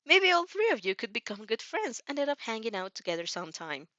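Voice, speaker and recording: A woman narrating fan fiction in an excitable amateur style, often stumbling over her words. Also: a little high, brisk, no room sound, dull audio. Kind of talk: animated amateur fan fiction reading with reactions.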